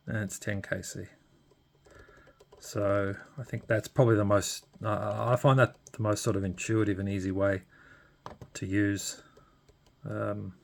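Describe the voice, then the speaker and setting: A man's voice: lowish voice, creaky, husky. A man giving a casual, unscripted hands-on demonstration, hesitant and thinking aloud as he goes. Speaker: hobbyist demonstrating radio equipment.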